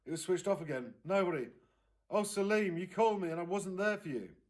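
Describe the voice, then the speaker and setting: Man voicing a distraught woman, pitched up high. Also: lively intonation. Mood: anguished. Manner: wailing, halting, emphatic. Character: distraught, tearful aunt recounting a missed phone call.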